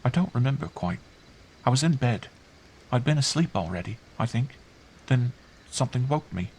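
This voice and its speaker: A man's voice: mid-range voice, sing-song, moderate pace, gravelly, slight background noise, voice pushed up. A man voicing a bewildered, earnest young girl in an audiobook, trusting and fragile, speaking simply. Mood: hushed, earnest. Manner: hushed, simple, earnest delivery. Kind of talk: audiobook character voice.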